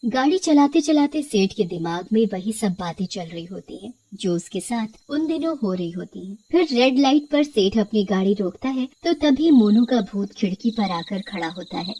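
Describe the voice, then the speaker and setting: A woman narrates a scripted horror tale in a lively, varied pitch. Her voice sits in a middle register, with faint noise behind it. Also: normal speed, hardly any pauses, poor audio.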